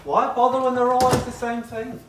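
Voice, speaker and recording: A woman's voice: fairly high pitch, flat delivery, fairly quick, far from the mic, noisy background, natural voice. A woman playing a mischievous actor who teases and spouts mock-heroic lines in a radio play. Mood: teasing. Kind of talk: comic radio play acting. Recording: echoey room, off the mic.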